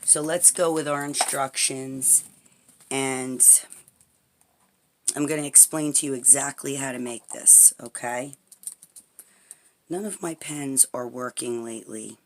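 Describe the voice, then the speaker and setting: A woman with a raspy voice demonstrating an herbal recipe at home in a chatty, rambling way, often checking in with "okay?". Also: rumbling low, takes it slowly, long pauses. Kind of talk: casual how-to tutorial. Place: home, indoors at a tabletop. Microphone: camera pointed at the table, speaker off-frame.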